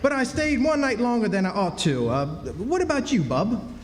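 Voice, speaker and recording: A man's voice: extremely high pitch, wildly swooping pitch, moderate pace, full character voice. A man playing a florid, theatrical con-man drifter in an old-time radio drama, folksy and grandiose. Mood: folksy boasting. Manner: folksy, grandiose, hammy delivery. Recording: live stage in echoey hall.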